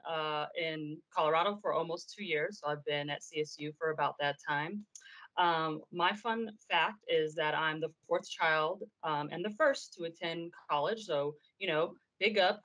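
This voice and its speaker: An American woman with a slightly low voice introduces herself as a librarian. She is playful and laid-back, talking in very casual slang. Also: measured pace, a bit bright, rough recording. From Pomona, Los Angeles, California, US. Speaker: academic librarian.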